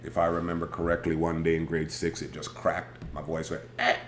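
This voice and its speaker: A man with a big, booming voice, hosting a casual live stream and telling a rambling, animated story from his school days. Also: fairly quick. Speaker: host. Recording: echoey room with faint background noise.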